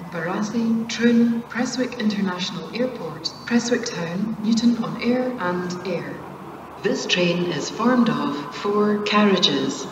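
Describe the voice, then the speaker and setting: A woman making formal automated railway station announcements in a measured, formulaic way over a public address system. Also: medium pitch, background noise. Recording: echoey station PA, long reverb, distant mic.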